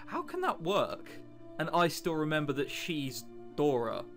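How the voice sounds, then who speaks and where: Animated, bright-voiced sarcastic man playing a game. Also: fairly high pitch, measured pace, soft background music. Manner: sarcastic, mildly sweary. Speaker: let's player. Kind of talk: casual joking gameplay commentary, reading visual novel text aloud.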